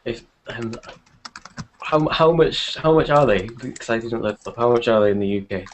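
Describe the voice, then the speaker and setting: A man with a middle-pitched voice co-hosts a tech podcast. He goes through news items in a casual, conversational way. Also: animated, moderate pace, slight background noise. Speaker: podcast co-host.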